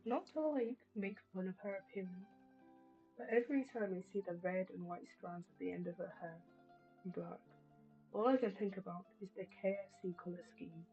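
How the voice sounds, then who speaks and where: A woman's voice: mid-range voice, normal speed, warm tone. Casual gaming streamer, woman, jokey and chatty, muffled mic. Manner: chatty, reads dialogue aloud, jokey asides. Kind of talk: casual gameplay chat. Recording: dull mic with game music behind.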